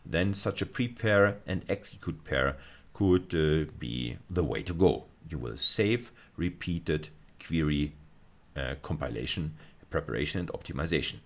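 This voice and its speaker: A middle-aged man giving an explanatory technical lecture, halting now and then to correct himself as he thinks aloud. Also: very deep voice, lively intonation. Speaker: university lecturer. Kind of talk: explanatory screencast lecture on programming.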